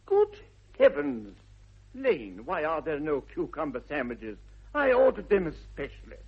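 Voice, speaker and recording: An actor playing an idle young English gentleman in a 1960s radio comedy, airy, arch and mock-earnest, with an upper-class accent. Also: fairly high pitch, wildly swooping pitch, measured pace, gravelly, breathy edge, heavily acted. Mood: mock dismay. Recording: slightly dull vintage radio recording.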